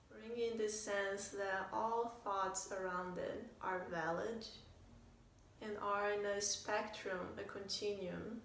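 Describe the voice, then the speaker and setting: A woman guides a meditation in a calm, gentle manner, with a level, barely varying melody and long pauses between phrases. She speaks very slowly, giving soft instructions. The recording is echoey, with faint noise around her voice. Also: mid-range voice, vocal fry. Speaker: meditation teacher. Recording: roomy, distant mic, faint noise.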